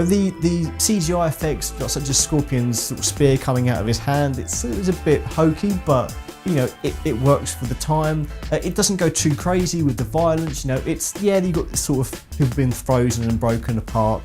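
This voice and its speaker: A man's voice: medium pitch, darkish tone. Man chatting casually over background music. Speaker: video game channel host. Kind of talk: casual opinion vlog, rambling chat. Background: background music with guitar.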